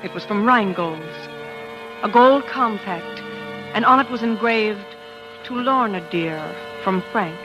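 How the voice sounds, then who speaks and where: An actress playing a jealous, vain, dramatic wife in a theatrical, self-pitying 1940s radio style. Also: female voice, mid-range voice, measured pace, lightly acted, low-quality recording. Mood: confiding, self-justifying. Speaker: lead actress and first-person narrator. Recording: old 1940s radio recording with music.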